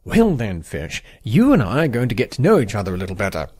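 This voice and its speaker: A man playing a gleefully sadistic pony airship commander in an audio drama, theatrical and full of drawn-out, mocking politeness. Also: lowish voice, wildly swooping pitch, a little mellow, slight background noise. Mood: smug, playful.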